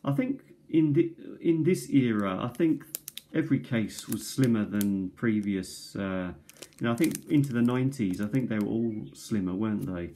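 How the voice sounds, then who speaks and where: Hesitant man, mellow voice. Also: lowish voice, moderate pace. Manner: hesitant, many ums and restarts. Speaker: cassette tape collector. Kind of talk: casual show-and-tell. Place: kitchen table. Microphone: tablet camera mic.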